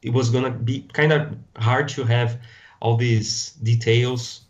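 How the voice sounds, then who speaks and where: A man's voice: mid-range voice, fairly even intonation, measured pace, highs cut off. A Brazilian man with a Portuguese accent, a podcast guest talking casually and hesitantly, often restarting words as he looks for them in English. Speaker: guest designer. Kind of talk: casual interview chat.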